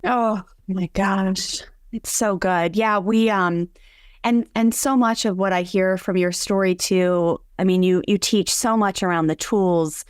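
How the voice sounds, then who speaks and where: A woman's voice: medium pitch, steady tone, unhurried, smooth, dry recording, clean studio sound. A woman from Southern California hosting a conversation, effusive and chatty, with plenty of fillers. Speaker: podcast host. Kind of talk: casual interview chat.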